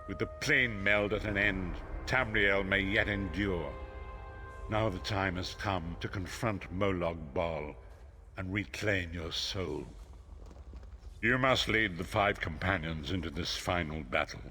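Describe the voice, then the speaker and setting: An actor playing a solemn, dignified mentor in a video game, with a grave, formal, portentous delivery. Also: male voice, deep voice, a little slow, bright, forceful tone, faint music behind. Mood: solemn resolve. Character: solemn, dignified old prophet-like male mentor rallying hero.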